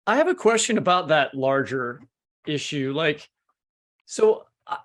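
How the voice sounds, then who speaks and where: A man in his fifties with a somewhat high-pitched voice hosts a discussion. He speaks casually and haltingly, restarting and qualifying as he works toward his point. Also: big pitch swings, a bit bright. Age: 50s. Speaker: podcast host.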